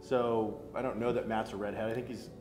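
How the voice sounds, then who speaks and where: A man's voice: lowish voice, level tone, fairly quick, music in the background. American man hosting in an echoey room. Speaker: talk show host. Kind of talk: casual geek culture chat. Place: roomy indoor restaurant at a table. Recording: roomy echo, faint background noise.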